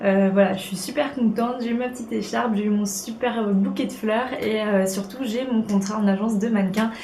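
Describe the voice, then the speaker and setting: A woman's voice: medium pitch, steady tone, a little fast, a little mellow. Young woman, sniffly cold, chatty beauty vlogger, faint background hiss. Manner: chatty and bubbly, many fillers. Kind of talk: casual beauty tutorial chat. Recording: echoey room with faint noise.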